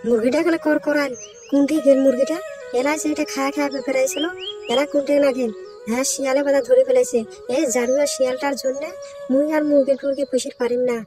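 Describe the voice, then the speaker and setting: Woman acting a high-pitched scolding village woman. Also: steady tone, fast, music in the background, natural voice. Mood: angry complaining. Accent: northern Bangladesh. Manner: nagging, complaining. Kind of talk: Bengali village cartoon voice acting.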